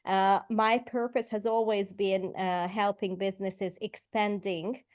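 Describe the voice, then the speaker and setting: A Hungarian-accented woman in her forties talks through her career as a podcast guest. Her speech halts often on fillers and restarts. Her voice sits at a middle pitch for a woman. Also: steady tone, unhurried, darkish tone. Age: 40s. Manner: hesitant, many fillers and restarts. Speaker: guest entrepreneur. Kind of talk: podcast interview.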